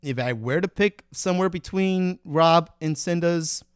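Male podcast host, clear and slightly high voice, mock-earnest comic delivery on a dry close mic. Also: a little slow, no echo. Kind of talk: casual comedic podcast banter.

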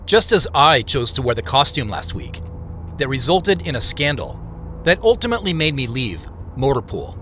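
An actor playing an introspective man who narrates his journey in the first person, earnest, reflective and measured. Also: mid-range voice, very animated, sharp tone, slight background noise. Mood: ashamed, reflective. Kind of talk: scripted first-person audio drama narration.

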